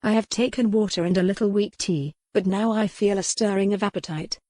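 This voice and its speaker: A woman's voice: mid-range voice, steady tone, a little fast, dry recording, close to an everyday voice. A woman playing a frail young widow. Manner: formal, quiet and wry.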